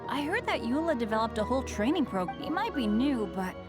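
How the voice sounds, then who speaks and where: A woman's voice: fairly high pitch, big pitch swings, fairly quick, over music. A woman voicing an earnest, upbeat but unlucky teenage boy adventurer in a video game, eager and self-effacing. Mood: helpful, hopeful.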